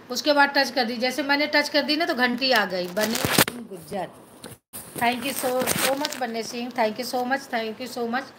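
A woman's voice: a little high, sharp tone, slight background noise. A middle-aged woman from Madhya Pradesh hosts a casual live stream from a small indoor room. She chats on her phone, answers viewers' comments and thanks them again and again, mixing English words into her talk. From Madhya Pradesh, India. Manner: chatty, thanks viewers over and over, mixes English into Hindi. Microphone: phone held at arm's length.

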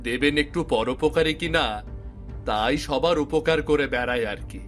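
A man's voice: medium pitch, normal speed, fairly bright tone, soft background music, close to an everyday voice. A man voicing a practical, grumbling fisherman husband in a cartoon, mildly exasperated and dismissive. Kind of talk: cartoon voice acting.

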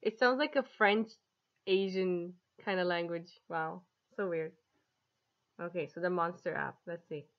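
A woman's voice: on the low side, measured pace. A woman in her twenties chatting casually and giggly as she demonstrates an app in a vlog. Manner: giggly, amused. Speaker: app reviewer. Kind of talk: casual vlog chat to camera. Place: small home living room, indoors. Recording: dull lo-fi webcam sound.

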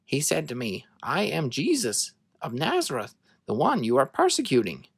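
A narrator reading scripture to children as a dramatic story, in an expressive, measured way. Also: mid-range voice, gravelly, slightly rough, no echo.

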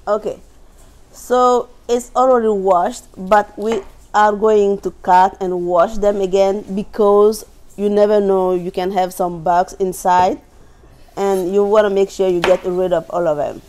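Mellow West African woman teaching cooking. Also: mid-range voice, steady tone, unhurried, a little hiss or hum. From Burkina Faso. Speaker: cooking instructor. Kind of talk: live cooking demonstration. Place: large indoor teaching kitchen.

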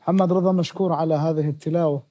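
A man's voice: on the high side, fairly even intonation, very fast, dark tone. A man judging a recitation contest, frank and questioning in his remarks. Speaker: competition judge. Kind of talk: spoken critique.

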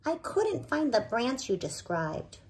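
A woman's voice: on the high side, sing-song, takes it slowly, breathy edge, heavily acted, rough recording. A woman voicing a kind, helpful male bird in a storybook, eager and a little timid. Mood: kindly. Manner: eager, obliging, slightly timid.

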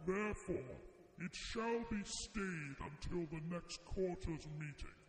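An actor playing the stern, formal elder of a secret brotherhood of killers. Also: male voice, high-pitched, lively intonation, slow pace. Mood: stern, ceremonial. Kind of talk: radio drama acting. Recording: echoey long hall reverb.

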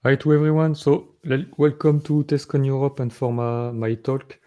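A man in his thirties from France with a French accent, giving a recorded conference talk from a room at home in a halting way full of fillers and restarts. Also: medium pitch, fairly even intonation, dark tone, highs cut off. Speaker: conference speaker.

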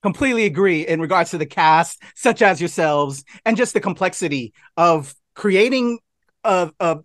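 High-pitched man, dry close sound. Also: normal speed, no echo. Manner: expressive, gesturing. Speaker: interviewer, host. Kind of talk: casual interview hosting. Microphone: video call.

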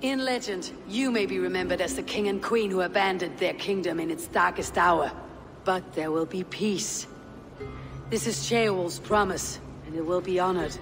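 An actress playing a steady Viking woman leader who speaks calmly, bluntly and dryly in game cutscenes. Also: fairly low pitch, normal speed, creaky, in a large hall, faint music behind. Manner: calm, dry, blunt. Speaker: game protagonist.

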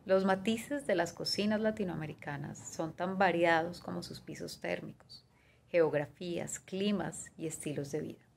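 Woman, thirties, low and gravelly, talking over a webcam: a steady, partly read talk that runs through lists with small hesitations. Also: slight background noise. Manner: measured, listing items, with fillers like "eh" and "digamos". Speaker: guest speaker on pastry and cuisine. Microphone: video call webcam.

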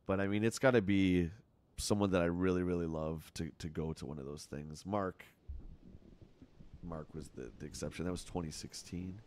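A man's voice: sits low, moderate pace, darkish tone, a little hiss or hum. A middle-aged man with a Canadian accent, chatting casually with his chat while playing a game on a live stream at home. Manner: rambling, chatty, answers chat.

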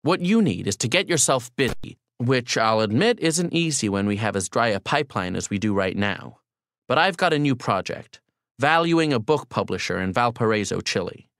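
A man playing a blunt, brisk male boss in an audiobook, informal and commanding but supportive. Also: mid-range voice, sing-song, fairly bright tone, dry recording, clean studio sound. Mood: blunt, encouraging.

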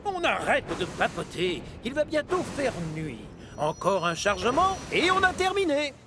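Swooping, bossy steam engine. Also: male voice, extremely high pitch, big pitch swings, moderate pace, noisy background. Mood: firm. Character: bossy male cartoon steam locomotive.

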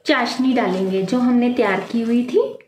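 A woman hosting a home cooking video, calmly talking viewers through each step and calling them friends. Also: fairly high pitch, normal speed, reverberant, slight background noise. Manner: addresses viewers as friends, calm explaining. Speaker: cooking channel host. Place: home kitchen at the stove.

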